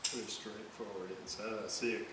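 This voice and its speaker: A man giving casual hands-on repair commentary, thinking aloud in a hesitant, halting way as he works. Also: lowish voice, fairly even intonation. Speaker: electronics restorer narrating a repair video. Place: indoor room at a workbench. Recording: very echoey room, distant mic, clear hiss.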